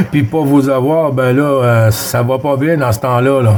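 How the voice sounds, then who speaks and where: A man's voice: medium pitch, fairly even intonation, measured pace, darkish tone. A middle-aged man with a Québécois French accent, chatting casually on CB radio with lots of fillers and restarts. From Quebec. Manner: rambling, many fillers and restarts. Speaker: CB radio operator.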